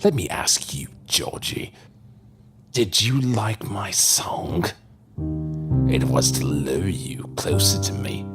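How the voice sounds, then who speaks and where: A man's voice: deep voice, very animated, slow pace, heavily acted. An actor playing a menacing, sardonic demon in a possessed man's body in an audio horror drama. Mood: gloating. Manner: sardonic, taunting, theatrical. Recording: music under the voice.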